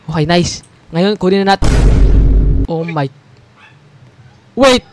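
A woman's voice: on the low side, expressive, slow pace, noisy background. A woman with a Filipino accent improvising a game roleplay as group leader, often repeating words in bursts. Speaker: gamer leading a roleplay group.

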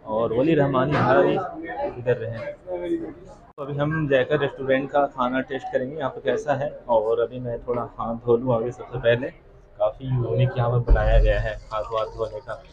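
A man's voice: medium pitch, steady tone, normal speed. A young man in his twenties chatting casually as a vlogger, filming himself on a phone held at arm's length. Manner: chatty, repetitive, walks while filming. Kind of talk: casual vlog narration. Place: outdoors in city streets and a busy restaurant. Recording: dull lo-fi phone audio with crowd. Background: crowd chatter.

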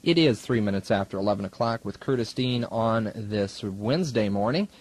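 A man's voice: lowish voice, lively intonation, normal speed, darkish tone, dry recording. A young American man working as a student radio DJ, announcing music and chatting in a casual, wry manner. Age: young adult. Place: radio studio. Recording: 1980s college radio aircheck tape.

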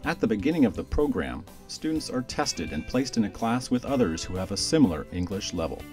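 A man reading a scripted promotional voice-over in a smooth, even delivery. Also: on the low side, fairly quick, faint music behind. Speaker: promo narrator.

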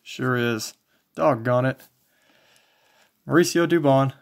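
A man casually commentating as he shows collectibles, in a low-key, folksy way, thinking aloud. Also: takes it slowly, vocal fry. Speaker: trading card collector and video host. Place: indoors, small home tabletop setup.

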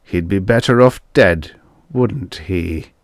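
A man's voice: deep voice, takes it slowly, vocal fry, no room sound, clean studio sound, full character voice. A man voicing a grim, domineering show producer who speaks in a harsh, solemn, coldly calculating way in a story reading. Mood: solemn, scheming.

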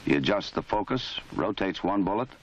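An American man reading formal scripted narration for a training film in a measured, authoritative, matter-of-fact way. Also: low-pitched, lively intonation, slight background noise. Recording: dull lo-fi 1970s film soundtrack.

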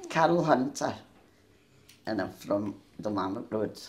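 An older Scottish woman with a gruff Glaswegian voice tells stories from her life in a chatty way and laughs easily. She speaks into a handheld mic in a small room with a noticeable echo. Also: a bass voice, lively intonation, a little slow, a little mellow. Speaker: interviewee. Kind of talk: oral history interview. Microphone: handheld mic held near her.

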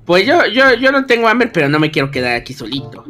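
A man's voice: high voice, very animated, fast talker, sharp tone, soft background music. A man chatting casually in a gaming roleplay. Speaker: gaming video guest player.

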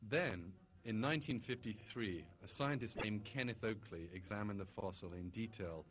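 A man with a low voice gives measured, formal documentary narration. Music plays clearly under his voice throughout. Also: a bit bright, rough recording. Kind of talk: scripted narration. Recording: documentary audio over music.

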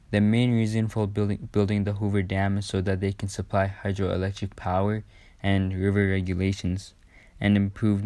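A man's voice: fairly low pitch, a little mellow, no echo. A man reading out a scripted newsreel narration. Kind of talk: scripted narration read aloud.

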